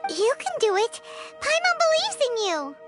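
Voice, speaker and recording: Over prominent music comes a tiny fairy sidekick for a video game, with an extremely high, childlike voice. She is perky and caring, and her pitch leaps around wildly. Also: very animated, normal speed. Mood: cheerful, encouraging. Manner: refers to self in third person, perky. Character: small childlike female fairy companion, cheerful and caring. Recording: music behind the voice.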